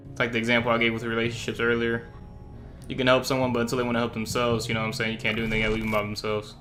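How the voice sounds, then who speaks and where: Fast-talking man, slangy reaction commentary. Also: mid-range voice, monotone, a bit bright. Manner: slangy, informal. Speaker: reaction video host. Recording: music behind the voice.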